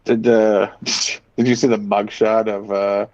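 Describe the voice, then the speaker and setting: A man's voice: fairly low pitch, flat delivery, slow pace, a little mellow. A man chatting casually as a podcast host, reading out items and reacting with profane, sardonic opinions. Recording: dull, muffled lo-fi audio.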